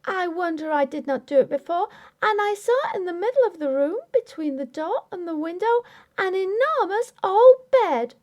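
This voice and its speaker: A woman's voice: very high-pitched, expressive, normal speed, a little mellow, lo-fi sound, voice pushed up. A woman voicing an indignant, tearful, stubborn young Victorian wife in a ghost story audiobook, with formal, emphatic speech. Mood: indignant, insistent. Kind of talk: dramatic audiobook character acting.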